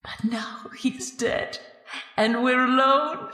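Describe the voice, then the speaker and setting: A woman's voice: sits high, sharp tone, exaggerated voice. An actress playing a menacing, bloodthirsty undead old woman in a radio horror drama, speaking insistently and often whispering. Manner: slow, insistent, breathy whispering and gloating. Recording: long echoing reverb with music behind.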